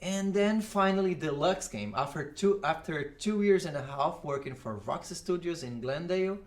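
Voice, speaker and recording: Brazilian man with halting, restarting English. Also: on the high side, expressive. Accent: Brazilian Portuguese. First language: Portuguese. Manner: halting non-native English with many restarts. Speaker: guest, audio engineer. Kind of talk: casual interview chat.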